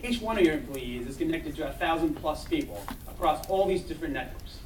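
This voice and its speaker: A young man from Israel giving an eager startup pitch, talking fast and restarting his phrases. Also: animated, a little hiss or hum. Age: young adult. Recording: echoey hall, mic from far off.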